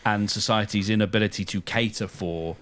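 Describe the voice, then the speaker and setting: A man's voice: fairly low pitch, steady tone, brisk, a bit bright. A man co-hosting a podcast, explaining ideas in a casual, thoughtful conversational way. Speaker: podcast co-host.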